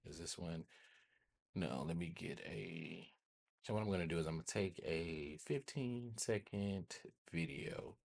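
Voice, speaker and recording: An American man with a husky voice hosting a casual software tutorial, rambling informally and often checking in with listeners. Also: sits low, measured pace. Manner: informal, rambling, repeats check-in tags like "right?" and "okay?". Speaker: host of a tech tutorial channel.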